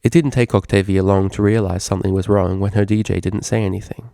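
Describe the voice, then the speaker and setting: A man's voice: fairly low pitch, steady tone, fast, vocal fry, dark tone, dry recording, clean studio sound. A man reading a scripted story aloud as its narrator. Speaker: fan fiction reader.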